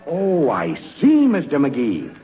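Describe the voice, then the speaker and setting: An actor playing a fussy, courteous railroad executive, hesitant and easily flustered, in an old-time radio comedy. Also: male voice, a little high, huge pitch swings, slow, exaggerated voice. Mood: officious. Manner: hesitant, stammering, formal. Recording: muffled, noisy vintage radio recording.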